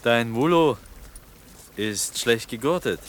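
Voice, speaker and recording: An actor playing a polite but probing wandering villager in a radio play. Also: male voice, mid-range voice, animated, slow, a little hiss or hum. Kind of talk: German radio play acting.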